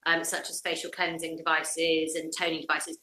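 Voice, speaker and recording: Bright-voiced woman hosting, roomy echo. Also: low-pitched, completely flat delivery, normal speed. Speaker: host of a live beauty interview. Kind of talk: casual live interview chat. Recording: roomy echo on a live video call.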